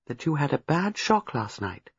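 A woman reading a storybook, playing a gentle, shrewd old lady with a soothing, measured manner. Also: low-pitched, sing-song, breathy edge, no room sound, lo-fi sound, heavily acted. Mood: gentle concern. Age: older.

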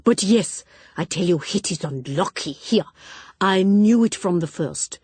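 A woman voicing a flamboyant, superstitious middle-aged lady, loud and theatrical. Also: fairly low pitch, expressive, a little slow, slightly rough, no echo. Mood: dramatic. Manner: theatrical, emphatic, loud declarations. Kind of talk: audiobook character voice.

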